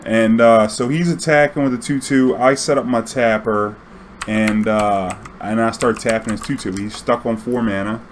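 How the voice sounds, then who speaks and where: A man casually telling an anecdote in a slangy, swearing, animated way, acting out bits of dialogue. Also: fairly low pitch, moderate pace, slight background noise. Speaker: storyteller recounting his own tournament games.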